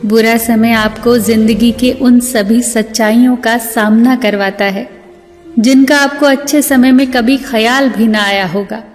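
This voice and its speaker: A woman narrator reciting scripted motivational sayings in a measured, deliberate way. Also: fairly high pitch, fairly even intonation. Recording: long hall reverb, music bed. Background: music.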